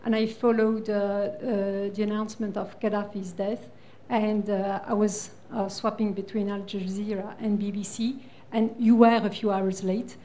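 Mellow-voiced woman journalist asking questions. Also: medium pitch, level tone, slight background noise. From New Zealand. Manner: polite, halting with many uhs. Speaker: foreign correspondent asking a question. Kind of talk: audience question at a panel.

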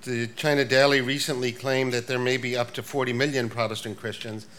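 An older man, a priest and professor, giving a prepared talk in a measured way with dry asides. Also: medium pitch, level tone, a bit bright. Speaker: guest speaker. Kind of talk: prepared lecture.

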